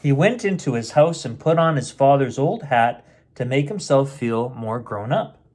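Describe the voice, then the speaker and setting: A man's voice: mid-range voice, lively intonation, moderate pace, darkish tone. A middle-aged man reading a picture book aloud as a storyteller, with playful, chatty asides aimed at children. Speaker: storyteller, host of a bedtime story show.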